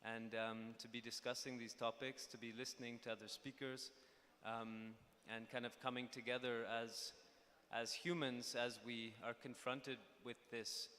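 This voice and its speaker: A young man, a documentary filmmaker, giving an unscripted introduction on a conference panel and stopping often to restart and fill pauses. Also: mid-range voice, level tone, sharp tone. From Pakistan (born in the United States). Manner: hesitant, many fillers and restarts. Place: conference stage in a large hall. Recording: hall reverb with faint ambience.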